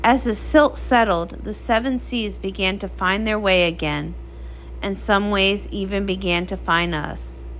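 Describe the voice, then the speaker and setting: A woman's voice: mid-range voice, unhurried, a bit bright, a little hiss or hum, poor audio. A woman reading as the narrator of a comic read-along, in a dramatic storybook style.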